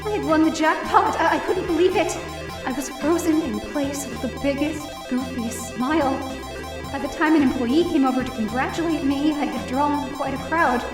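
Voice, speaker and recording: A woman's voice: high-pitched, moderate pace, natural voice. A woman playing a hopeful, down-on-her-luck heroine who tells her own story earnestly and expressively in an audio drama. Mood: amazed. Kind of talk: first-person audio drama narration and dialogue. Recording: roomy echo, distant mic, music behind.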